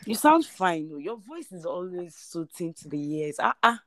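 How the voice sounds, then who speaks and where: A woman's voice: fairly low pitch, big pitch swings, moderate pace. A young woman from Nigeria co-hosting a podcast, casual and playful, teasing her co-host and saying she is tired. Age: young adult. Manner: playful, teasing, laughs often. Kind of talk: casual chat.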